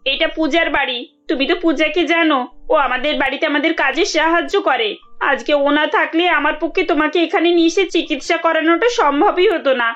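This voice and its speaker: A woman's voice: high voice, fairly quick, fairly bright tone, dull audio, faint music behind. A woman voicing a kindly, well-off madam in a cartoon, concerned and reassuring. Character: well-off, kindly, grateful madam, protective sister-in-law. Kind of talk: cartoon dialogue.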